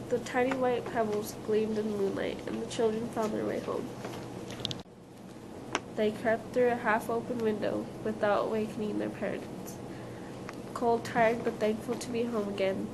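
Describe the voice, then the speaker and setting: A woman's voice: a little high, flat delivery, normal speed. A woman reading scripted storybook narration aloud, occasionally stumbling on a word. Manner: reads aloud, stumbles on words. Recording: clearly noisy live recording.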